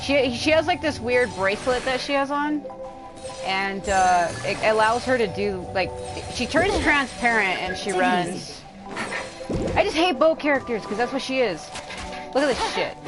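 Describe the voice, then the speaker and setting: A woman's voice: on the high side, animated, unhurried, music in the background, rough recording. A woman livestreaming a video game with casual, rambling commentary, blunt and complaining, swearing freely.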